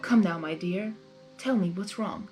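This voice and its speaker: A woman's voice: fairly low pitch, unhurried, a little mellow, close to an everyday voice. Storybook fanfic role: male chimera trickster read by a woman, theatrical, teasing, grandly affectionate, music behind. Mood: concerned. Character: flamboyant male chimera chaos lord, teasing yet caring.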